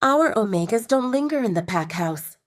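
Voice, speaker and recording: Woman as stern, sharp-tongued she-wolf, lively pitch. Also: moderate pace, no room sound, studio quality, close to an everyday voice. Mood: reassuring. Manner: firm, sarcastic. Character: stern, protective female werewolf second-in-command, sharp-tongued.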